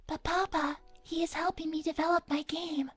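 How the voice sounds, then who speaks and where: A woman's voice: extremely high pitch, little pitch movement, moderate pace, faint music behind. A woman voicing a polite, childlike AI hologram daughter, earnest and pleading, with stilted, careful delivery in a livestream role-play. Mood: upset, reproachful. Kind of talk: in-character livestream chat.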